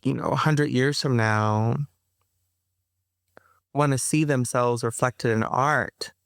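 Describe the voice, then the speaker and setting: Smooth-voiced, animated woman guest. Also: very deep voice, slow, dry recording, clean studio sound. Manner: animated, chatty, addresses listeners as y'all; frequent fillers and laughter. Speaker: interview guest, playwright. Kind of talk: casual interview conversation.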